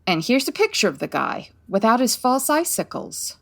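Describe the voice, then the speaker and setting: Breezy, animated 1940s lady detective acted by a woman. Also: fairly high pitch, normal speed, gravelly, heavily acted. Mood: wry. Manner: breezy, wry, commanding. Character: quick-witted, breezy 1940s female private detective. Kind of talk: comedic 1940s radio mystery acting.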